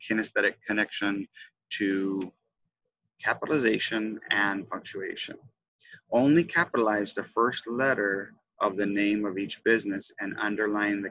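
A middle-aged man presenting an instructional lesson, stressing key words with deliberate, emphatic enunciation into a headset. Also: lowish voice, sharp tone, lo-fi sound. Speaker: teacher trainer presenting a lesson. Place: indoors, webcam presentation.

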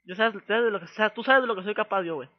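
A man's voice: sits high, racing. A man with a northern Mexican accent talking in pushy, threatening street slang on a prank phone call, repeating himself. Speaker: prank caller. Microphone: telephone call. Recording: muffled, very lo-fi phone call audio.

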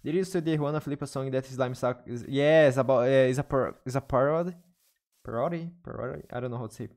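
A young man from Brazil with a Brazilian Portuguese accent, chatting with viewers in halting second-language English while gaming on a live stream. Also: medium pitch, a little mellow. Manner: halting non-native English, restarts and searches for words. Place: small room at a desk. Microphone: studio mic on arm, headphones.

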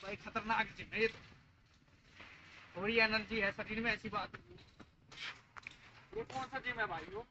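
A man's voice: high voice, fairly even intonation, normal speed, long pauses, lo-fi sound, background noise. A young man from India chatting playfully to viewers while trying out outdoor gym machines in a park, recorded on a handheld phone. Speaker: vlogger. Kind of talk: casual vlog chat. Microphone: handheld phone camera, moving around.

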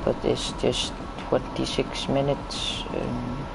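Young Icelandic-accented man, gaming streamer, slow halting delivery, noisy home recording. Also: mid-range voice, monotone, creaky. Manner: slow, halting English with frequent switches to Icelandic. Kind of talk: casual gameplay commentary.

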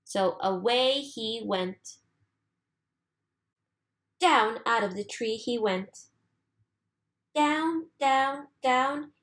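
A woman reading a children's storybook aloud expressively, with deliberate, sing-song emphasis. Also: on the high side, a bit bright. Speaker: storybook reader.

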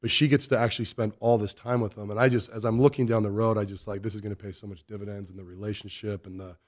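American man, forties, lowish pitch, quick pace, emphatic podcast guest, studio mic. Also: little pitch movement. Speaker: podcast guest, business owner. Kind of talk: conversational interview. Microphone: close studio mic on boom arm.

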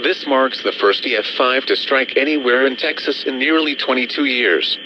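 A synthetic male announcer reads a formal weather bulletin over the radio. His delivery is impersonal and his pitch sits in the middle range. The broadcast sounds dull and lo-fi, with clear noise. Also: normal speed. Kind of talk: scripted weather bulletin narration.

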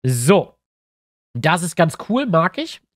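A man's voice: fairly high pitch, very animated, takes it slowly, no echo, clean studio sound. A man with a stuffy nose, chatting casually to his viewers as he thinks aloud through building a map in a tutorial. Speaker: YouTuber building a tabletop map. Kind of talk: casual screen-recorded tutorial.

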